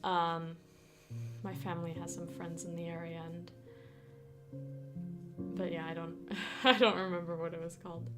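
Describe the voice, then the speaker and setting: A woman's voice: fairly low pitch, level tone, normal speed, long pauses. A young woman, a native Danish speaker, chatting casually with viewers on a live stream, giggling often and restarting her sentences. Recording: streamed with guitar music behind. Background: guitar music.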